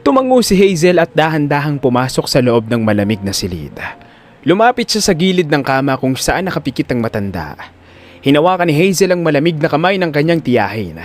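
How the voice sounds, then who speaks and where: A man narrating an audio drama in a scripted, dramatic storytelling style. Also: fairly high pitch.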